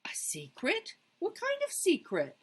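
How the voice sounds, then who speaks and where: Young storybook raccoon boy, acted by a woman: high, lively pitch, childlike, curious, pleading. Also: a little slow, heavily acted. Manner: pleading, wide-eyed questioning.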